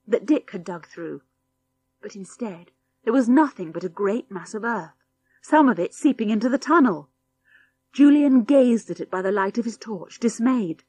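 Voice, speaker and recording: A British woman narrates a children's adventure audiobook. Her voice sits at a middle pitch and she tells the story expressively, with clear articulation and a sense of drama. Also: darkish tone.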